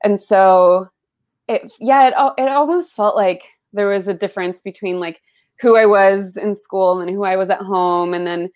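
A young woman raised in the Bay Area, with Spanish as her first language, chatting as a guest in a relaxed interview. She rambles warmly through memories, peppering her speech with "like" and "um". Her pitch sits in a middling range. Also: moderate pace. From San Francisco Bay Area, US. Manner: chatty, full of "like" and "um", animated when recalling. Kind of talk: casual conversational interview.

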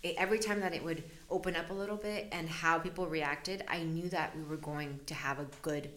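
A woman's voice: sits low, fairly even intonation, brisk, a bit bright. A woman from El Salvador, a business owner, talking candidly in an interview. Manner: candid, chatty, laughs often. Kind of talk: casual interview.

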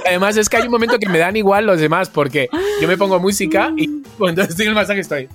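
High-voiced Spanish man chatting casually. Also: fast talker, over music. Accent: Spanish from Spain. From Spain. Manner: animated, self-mocking storytelling. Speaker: podcast co-host. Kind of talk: casual comedic chat.

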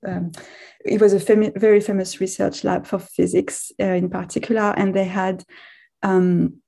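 A middle-aged woman from France, a physics professor speaking as a guest in a casual podcast interview, talking in a halting way with frequent restarts and self-corrections. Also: medium pitch, flat delivery, vocal fry, dark tone.

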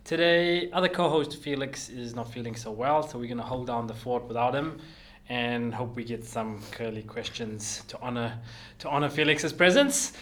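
Host: man, mid-pitched, upbeat, chatty, quick laughing asides. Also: a bit bright. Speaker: podcast host. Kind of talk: podcast interview.

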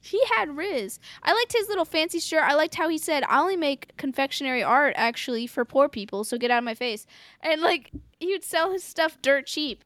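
A woman co-hosting a casual anime recap chat, expressive and blunt, swearing freely in slangy talk. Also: high-pitched, fairly quick, no room sound. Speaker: podcast co-host recapping an anime.

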